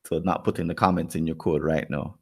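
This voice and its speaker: A man's voice: extremely low pitch, steady tone, brisk, husky. A man with a Jamaican accent co-hosting a podcast, talking casually and rambling, repeating words as he thinks. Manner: rambling, repeats words while thinking, acts out quoted people. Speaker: podcast co-host.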